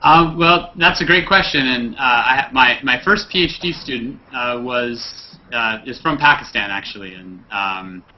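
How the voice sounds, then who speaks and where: A man, a climate scientist, explaining his research carefully with frequent pauses and fillers over a video call. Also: medium pitch. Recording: dull lo-fi video call audio.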